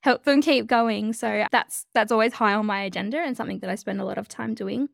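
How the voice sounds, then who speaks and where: A woman with an Australian accent, an energetic and bubbly podcast guest who often laughs while chatting casually about her work and life. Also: on the high side, brisk, gravelly, no room sound, studio quality. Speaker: guest, change leadership expert. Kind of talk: casual interview chat.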